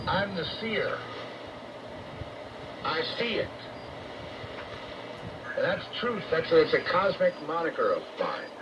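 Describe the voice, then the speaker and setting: An American man giving a rambling, off-the-cuff radio monologue as a host, halting, repetitive, grandiose and coarse-spoken, over shortwave radio. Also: on the high side, huge pitch swings. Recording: muffled, very noisy distant shortwave radio broadcast.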